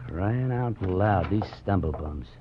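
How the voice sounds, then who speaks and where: Animated, folksy, put-upon bartender. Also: male voice, sits low, takes it slowly, noisy background, heavily acted. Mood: grumbling. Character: folksy, put-upon male neighborhood bartender, easily flustered. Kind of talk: 1940s radio acting. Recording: muffled vintage radio broadcast.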